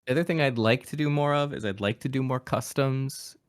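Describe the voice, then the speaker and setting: A man's voice: medium pitch, a little fast, clear, resonant tone, no echo, studio quality. A man with a mid-Atlantic American accent, a podcast guest and voice actor from Appalachia, talking casually and reflectively in an interview, with easy self-deprecating laughs. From Appalachian region of the United States.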